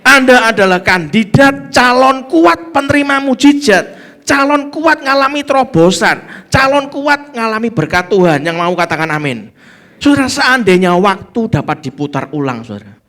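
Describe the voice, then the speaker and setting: A man's voice: high-pitched, big pitch swings, normal speed, a bit bright. A man with a Javanese accent preaching a sermon as pastor, emphatic, calling the congregation to say amen and slipping into local phrases.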